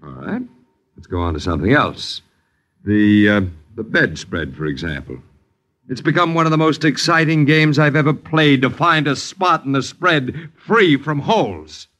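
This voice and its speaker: An actor playing an uppity, needling boarder in a 1950s radio drama, with florid diction and mock politeness. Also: male voice, mid-range voice, very animated, normal speed, fairly bright tone. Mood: teasing complaint. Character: suave, sardonic, uppity boarder who needles his landlords.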